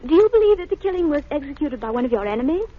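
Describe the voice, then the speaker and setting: A woman's voice: high voice, very animated, rapid-fire. An actress playing an earnest, anxious young lady sleuth in an old radio drama, well-spoken and a little hesitant. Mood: earnest, probing. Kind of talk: 1930s radio drama acting. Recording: muffled old radio recording, faint noise.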